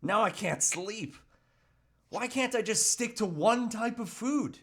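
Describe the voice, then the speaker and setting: A man's voice: high-pitched, lively intonation, slow, fairly bright tone, full character voice. A man playing a troubled, self-pitying husband and father who earnestly resists temptation, with hesitant, pleading delivery in a script read. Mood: miserable, regretful. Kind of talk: live table read of a movie script.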